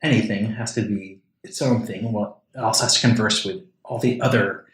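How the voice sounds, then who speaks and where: A man's voice: fairly low pitch, fairly even intonation, brisk. A man hosting a casual interview podcast, feeling out his phrasing as he talks. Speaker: podcast host. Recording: roomy, echoing sound.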